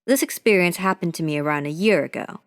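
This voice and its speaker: A narrator reading a scary story aloud in a steady, measured way. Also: medium pitch, smooth. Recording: voice with long hall reverb.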